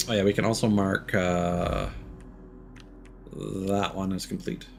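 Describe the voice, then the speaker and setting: A young adult man streaming a video game, thinking aloud in casual commentary full of fillers and restarts, with sudden excited exclamations. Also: low voice, slow, vocal fry, slight background noise.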